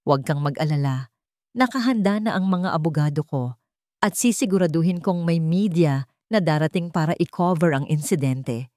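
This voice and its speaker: Casting: rich old villainess woman performer, cold, menacing, imperious, low register, dramatic audio story. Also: moderate pace, mellow, dry recording, clean studio sound, natural voice. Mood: scheming, commanding. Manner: commanding, menacing. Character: cold, scheming, wealthy elderly villainess.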